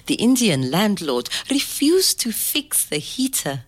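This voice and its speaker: A woman playing a loving, firm grandmother who tells a bedtime story in a measured, tender, expressive way. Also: medium pitch. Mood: grave. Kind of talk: scripted dramatic story narration.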